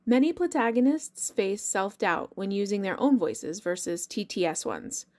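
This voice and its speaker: Lady interviewer reading scripted questions, average pitched voice. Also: very animated, moderate pace, darkish tone. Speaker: interviewer, host.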